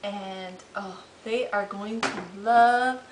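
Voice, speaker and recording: A woman's voice: mid-range voice, steady tone, slow. Chatty woman cooking in an echoey kitchen. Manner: chatty and homey, thinking aloud with fillers while working dough. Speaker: home cooking host. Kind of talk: casual cooking demonstration. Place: large home kitchen. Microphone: camera mic, a few steps away. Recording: echoey kitchen with clear background noise.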